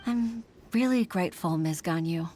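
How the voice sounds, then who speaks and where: A woman's voice: lowish voice, normal speed, warm tone, slight background noise, natural voice. A woman voicing an earnest, respectful young official in an anime game dub, speaking politely. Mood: admiring, humble. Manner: polite, deferential.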